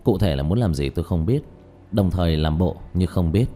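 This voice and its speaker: A man playing a calm, matter-of-fact young male exorcist in an audiobook, speaking in a low-key, measured way. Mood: calm, explaining. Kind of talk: audiobook character dialogue.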